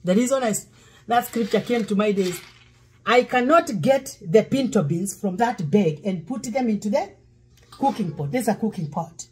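A woman's voice: mid-range voice, expressive, normal speed, background noise. A middle-aged woman pastor, preaching while cooking in her home kitchen, breaking into song now and then. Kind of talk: cooking demo sermon. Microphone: fixed camera across counter.

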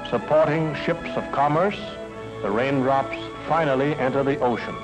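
A man's voice: medium pitch, moderate pace, poor audio. An American man giving folksy, emphatic scripted narration in the manner of a mid-century educational film. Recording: old film soundtrack with music.

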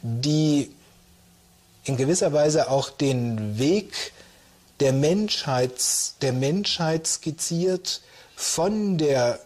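Very slow-speaking male academic expert. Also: on the high side, animated, a little mellow. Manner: halting, thoughtful, searching for words. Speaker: expert interviewee, professor of Assyriology. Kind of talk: unscripted interview explanation.

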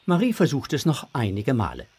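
Husky male storyteller. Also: medium pitch, wildly swooping pitch, a little fast, a little mellow. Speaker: narrator. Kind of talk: scripted narration of a children's audio drama.

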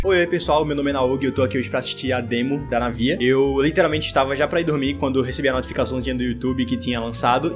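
A young man with a Brazilian accent giving effusive, casual reaction commentary as a video host. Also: fairly high pitch. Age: young adult. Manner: enthusiastic, effusive. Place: small bedroom gaming setup.

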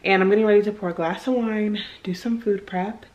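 A woman's voice: medium pitch, steady tone, fairly quick, highs cut off. A young woman talking breezily to a handheld camera at home, chatting casually and laughing often. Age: young adult. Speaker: vlogger. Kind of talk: casual vlog chat to camera. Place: home kitchen and bedroom indoors. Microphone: handheld camera at arm's length.

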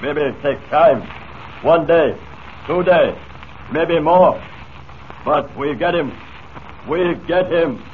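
Proud, loyal tribesman, clipped broken English, deliberate delivery, faintly noisy vintage broadcast. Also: a little high. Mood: proud, determined. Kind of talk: 1940s radio serial acting. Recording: tinny, noisy 1940s radio broadcast.

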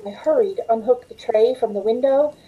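A woman's voice: fairly high pitch, a little fast, narrow, tinny audio, lightly acted. A middle-aged woman playing an anxious, hesitant waitress in a 1940s-style radio drama, earnest and pleading. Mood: uneasy recounting. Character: anxious young waitress telling her frightening story. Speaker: actor in a radio play. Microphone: video call from a home room. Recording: faint noise on home video call.

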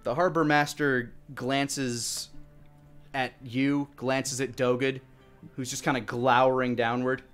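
A man in his thirties improvising tabletop game narration as game master, in a halting, searching way. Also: mid-range voice, flat delivery, a bit bright, clean tone. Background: faint music.

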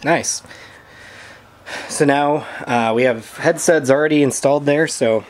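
Young male vlog host, casual shop talk, even mid voice, noisy home garage. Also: mid-range voice, unhurried. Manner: relaxed, explaining as he works, many fillers. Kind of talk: casual chat while working on an engine.

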